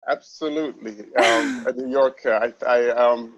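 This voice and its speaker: A man's voice: medium pitch, steady tone, moderate pace, no echo. A man from London, a guest filmmaker in a casual interview, who often repeats words as he starts his sentences. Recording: dry, dull lo-fi sound.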